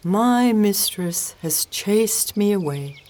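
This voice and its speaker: A woman's voice: on the low side, sing-song, takes it slowly, slight background noise. A woman voicing a forlorn old cat in a storybook with a plaintive, wailing manner.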